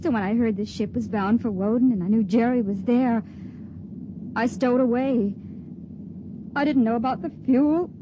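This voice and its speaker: Woman doing scared young stowaway girl, 50s radio drama, earnest n halting, muffled noisy old recording. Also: a little high, lightly acted. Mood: regretful. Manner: earnest, halting, emotional phrasing. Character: frightened, wistful young female stowaway. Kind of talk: 1950s radio drama acting.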